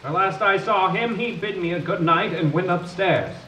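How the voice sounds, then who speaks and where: An actor playing a timid, flustered poetry professor in a 1930s-style radio comedy, speaking in formal, ornate, apologetic phrases. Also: male voice, sits high, normal speed, sharp tone, slight background noise, rough recording. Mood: frightened, pleading.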